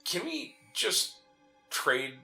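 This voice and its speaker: A man's voice: high voice, wildly swooping pitch, drawn-out words, creaky, pushed and bright, faint music behind. A man co-hosting a gaming show, chatting casually and arguing in a teasing way as he comments on the game.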